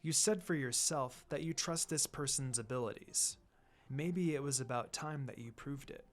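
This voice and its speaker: A man voicing a gentle, soft-spoken teenage boy in a story, calm, polite and measured. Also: mid-range voice, pitched below the performer's own voice, close to an everyday voice. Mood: gently encouraging. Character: gentle, pretty teenage boy, mistaken for a girl.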